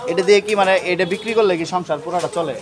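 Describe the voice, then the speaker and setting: A man's voice: sits high, rapid-fire, crowd noise. A man with a Bangladeshi accent doing an informal street interview and talking to viewers in a repetitive, urging way. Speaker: vlogger and interviewer. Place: outdoors on a street. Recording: noisy outdoor street with traffic.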